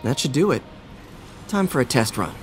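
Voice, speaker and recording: A man's voice: medium pitch, sing-song, moderate pace, faint music behind, natural voice. A man playing a young adult American superhero in a video game, wisecracking and earnest, often talking to himself. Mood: musing. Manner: quippy, self-talking. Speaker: game protagonist.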